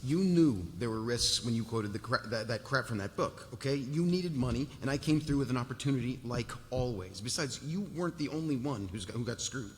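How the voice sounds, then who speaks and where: A man's voice: medium pitch, animated, a little fast, hardly any pauses, close to an everyday voice. A man playing a hapless young man, stumbling and defensive, in a live table read of a TV drama. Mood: pleading, defensive. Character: hapless, pleading young man making excuses. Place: live festival stage in a large hall. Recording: echoey hall, live stage microphone, faint noise.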